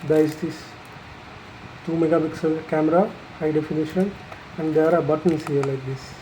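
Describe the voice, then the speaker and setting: A person giving an unscripted, explanatory product demonstration in a halting, matter-of-fact way, pausing mid-phrase. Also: mid-range voice, fairly even intonation, mellow, a little hiss or hum, low-quality recording. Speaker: installer presenting a product tutorial.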